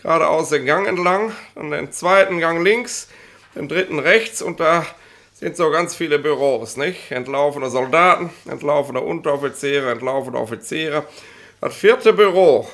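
An actor playing a fussy, officious police inspector in a 1960s radio play, hesitant and colloquial, repeating himself. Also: male voice, fairly high pitch, normal speed, a bit bright. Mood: brisk, bureaucratic. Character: fussy, flustered male police inspector and petty bureaucrat.

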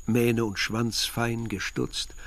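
An actor playing a reflective man narrating his village youth in a literary radio drama, measured and articulate. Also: medium pitch, flat delivery, a bit bright.

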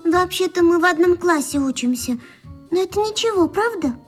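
A woman's voice: extremely high pitch, lively intonation, moderate pace, soft background music. A woman playing an anxious young schoolgirl with a plaintive manner in a children's radio drama. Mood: worried. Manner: plaintive, whimpering.

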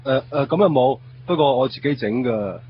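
A man acts out a bumbling, timid buddy in a Hong Kong Cantonese comic radio drama. He stammers and rambles at a middle pitch, with a dull sound and some ambient noise. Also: animated, normal speed, lightly acted. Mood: eager, flustered. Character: bumbling, timid, food-loving male buddy.

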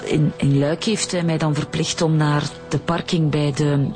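An actress playing a shaken, evasive wife who recounts her abduction haltingly in a Flemish accent, in a radio drama. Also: low voice, steady tone, darkish tone, close to an everyday voice. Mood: shaken, hesitant. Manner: hesitant, halting, repeating words. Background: music.

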